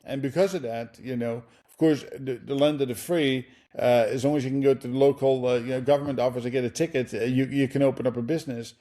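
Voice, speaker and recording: A man with a Dutch accent hosts a business interview podcast. His voice is mellow and relaxed, and he speaks in a halting way, stacking fillers and restarts as he works toward his questions. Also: mid-range voice. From Netherlands. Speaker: podcast host.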